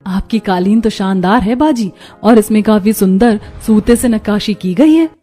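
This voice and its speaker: A woman voicing friendly, chatty young housewives in a cartoon story, with expressive neighbourly talk. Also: mid-range voice, normal speed, lightly acted. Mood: cheerful, chatty.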